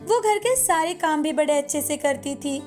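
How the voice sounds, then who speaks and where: High-pitched woman storyteller over music. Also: lively intonation, normal speed, darkish tone. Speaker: narrator of a moral story. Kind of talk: scripted storytelling narration for a children's cartoon. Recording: music bed behind the voice.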